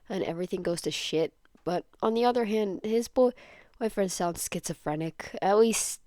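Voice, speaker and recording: A woman's voice: lowish voice, a little mellow, dry recording, studio quality. A woman reading fan fiction aloud as narration, sometimes stumbling over words and rereading them. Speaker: fan fiction podfic reader. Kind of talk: scripted story narration, read aloud.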